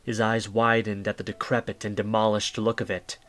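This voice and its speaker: A man's voice: lowish voice, little pitch movement, fairly quick, fairly bright tone. A man reading scripted story narration as the narrator of an audio drama. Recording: faint music bed.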